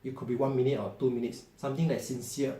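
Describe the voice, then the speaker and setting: A man's voice: mid-range voice, fairly even intonation, fast talker, dark tone. Earnest Singaporean man in echoey room. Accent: Singaporean English. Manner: earnest, loose and conversational with frequent restarts. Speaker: financial planner and author. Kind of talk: direct appeal to viewers. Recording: echoey room with faint ambient noise.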